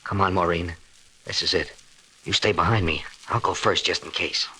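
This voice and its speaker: Brash, wisecracking 1940s newspaperman, bright-voiced. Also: sits low, moderate pace. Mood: tense, low. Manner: brash, slangy wisecracking delivery. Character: cocky, wisecracking male newspaper reporter, bold investigator. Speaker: radio actor. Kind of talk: 1940s radio drama acting. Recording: hissy, crackly 1940s radio recording.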